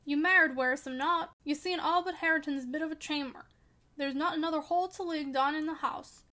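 A woman voicing a grumbling, pious old male servant with a put-on Yorkshire dialect, sneering and scolding in a slow drawl. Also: sits high, bright, forceful tone. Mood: indignant.

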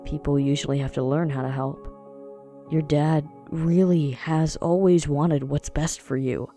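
A man's voice: a little high, steady tone, a little slow, dark tone, faint music behind. A man playing a supportive young friend in an audio drama, earnest and reassuring, with emphatic phrasing.

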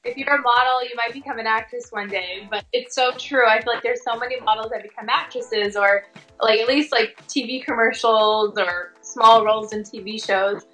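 A woman's voice: a little high, fairly even intonation, normal speed, sharp tone, faint music behind, low-quality recording. A woman chatting casually as an interview guest, informal and sprinkled with "like" and "you know". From Tongan American. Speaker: interview guest, working fashion and commercial model.

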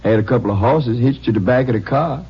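An actor playing an anxious, humble drifter farmhand in a 1950s radio drama, deferential and folksy, with an accent from the American South. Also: male voice, mid-range voice, fast talker, slightly rough. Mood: humble, explaining. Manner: deferential, folksy, ungrammatical rural speech. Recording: muffled old-time radio recording.

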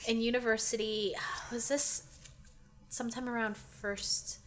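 A woman's voice: fairly high pitch, little pitch movement, unhurried. A woman in her twenties with a congested, nasal voice, co-hosting a podcast with blunt, wry remarks. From Canada. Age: 20s. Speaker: podcast co-host. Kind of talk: casual chat.

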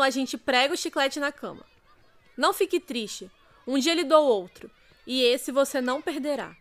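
A woman's voice: high voice, a bit bright. A woman playing a knowing, reassuring older girl in a radio drama, matter-of-fact, with a Brazilian accent.

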